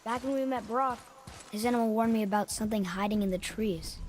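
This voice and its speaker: A man's voice: high-pitched, a little fast, close to an everyday voice. A young male actor playing a sullen, curious boy in a video game, with an informal, snarky way of talking. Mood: hesitant, confiding. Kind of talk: video game cinematic acting. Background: ambient noise.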